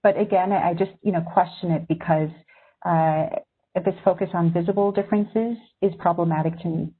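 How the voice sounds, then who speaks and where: A woman's husky, slightly low voice comes through thin, phone-like video-call audio. She grew up in Syria and speaks as a magazine editor, giving a reflective webinar talk to journalism students and weighing each point carefully. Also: steady tone, fairly quick. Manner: thoughtful, reflective.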